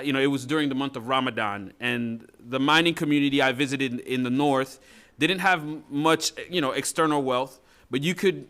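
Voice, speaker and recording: Male expert witness, mid-pitched, halting read with many fillers. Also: pushed and bright, clean tone. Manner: reads from notes with frequent uhs and restarts. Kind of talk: prepared testimony.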